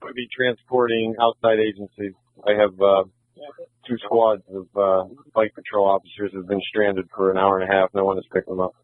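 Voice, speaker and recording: A man's voice: on the low side, flat delivery, normal speed, no room sound. A man working as a bike patrol officer, making a request over a muffled, narrow-band police radio.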